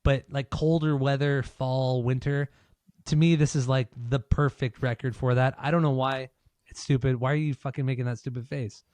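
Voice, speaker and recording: Brief: male podcast co-host, slightly hoarse, blunt and opinionated, swears freely, dry close mic. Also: mid-range voice, fairly even intonation, normal speed, darkish tone, no echo. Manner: swears a lot, loose and opinionated. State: losing his voice. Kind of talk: casual podcast chat.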